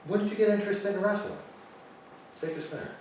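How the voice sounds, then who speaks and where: A man's voice: sits high, fairly quick, darkish tone, very echoey, distant mic, slight background noise, poor audio. A man hosting a casual interview, asking eager questions and agreeing often. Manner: eager, agreeable, many short backchannels. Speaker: interviewer, host.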